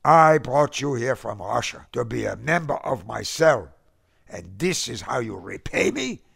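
A man's voice: medium pitch, big pitch swings, a little slow, vocal fry, fairly bright tone, slightly rough, full character voice. A man voicing a scolding, indignant male uncle who is a revolutionary.

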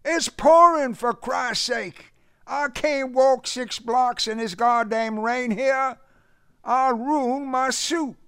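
A brash, hot-tempered Southern ex-boxer uncle, as a male narrator acts him out, pitched well up and very animated. He is loud, folksy and boastful, a complainer and tall-tale teller from a period audiobook. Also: slow pace, creaky, no room sound, studio quality, exaggerated voice. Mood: distressed, irritated. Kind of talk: audiobook character voice in a period story.

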